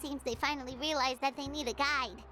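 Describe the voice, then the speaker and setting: A woman voicing a tiny, curious, excitable fairy companion in a video game, with a squeaky childlike voice. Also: brisk, a little hiss or hum. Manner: speaks of self in third person. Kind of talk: video game character acting. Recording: music behind the voice.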